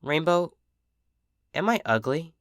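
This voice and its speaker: A man's voice: fairly high pitch, expressive, takes it slowly, fairly bright tone, no echo, clean studio sound, pitched above the performer's own voice. A man voicing a young female pony, an insecure and adoring filly with an earnest, childlike, pleading manner. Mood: hurt, pleading.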